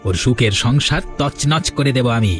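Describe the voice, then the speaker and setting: A man's voice: mid-range voice, expressive, moderate pace, music in the background, natural voice. A man voicing a resentful, timid villager turning on his friend in a cartoon, aggrieved and complaining. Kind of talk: Bengali cartoon dialogue dubbing.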